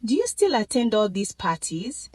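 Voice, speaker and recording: A woman's voice: a little high, big pitch swings, normal speed, darkish tone, no echo. A woman voicing a playful, coaxing female old school friend in a narrated drama story. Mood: teasing.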